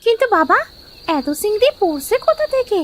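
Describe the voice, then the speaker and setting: Woman as very high-pitched village daughter. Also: sing-song, a little fast, slight background noise. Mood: curious. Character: sweet, dutiful young village daughter. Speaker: cartoon voice actor. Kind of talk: Bengali moral fairy-tale cartoon acting.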